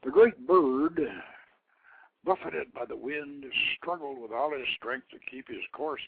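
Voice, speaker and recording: A man narrates a tale with theatrical drama, moving between forceful loud phrases and hushed ones. His pitch sits in a middle male range. The sound is dry and narrow, like a telephone feed into an internet radio show. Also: measured pace, creaky, slightly rough, no echo. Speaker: host reading his own novelette. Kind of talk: dramatic scripted story narration. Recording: narrow-band phone line, internet radio.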